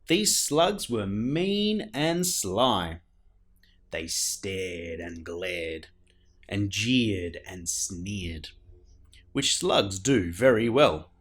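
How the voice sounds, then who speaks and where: A man reading a children's picture book aloud as a storyteller, in a theatrical manner with dramatic pauses. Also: lowish voice. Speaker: storyteller, show host.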